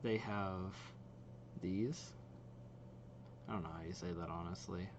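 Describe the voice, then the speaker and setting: A man's voice: low-pitched, steady tone, takes it slowly, dull audio, background noise. A young man giving casual, rambling reaction commentary as the host of a video.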